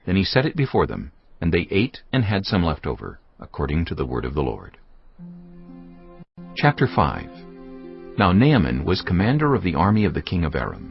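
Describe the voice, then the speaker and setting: A man with an extremely deep voice narrates scripture. His delivery is solemn yet widely varied, like dramatic storytelling, in a slightly muffled recording with soft music underneath. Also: huge pitch swings, moderate pace, vocal fry. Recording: muffled audio with music behind.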